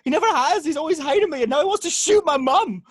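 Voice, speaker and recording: Woman reading a hot-tempered protective son, high animated voice, a bit raspy, home table read. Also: fast. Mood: bitter. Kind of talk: amateur table read of a film script.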